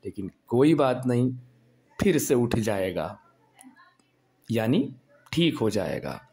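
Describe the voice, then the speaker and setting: Hoarse man with a slow delivery. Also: mid-range voice, big pitch swings, a little mellow. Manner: preachy, rhetorical, rising to anger. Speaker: video blogger. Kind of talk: impassioned monologue to camera. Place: at home.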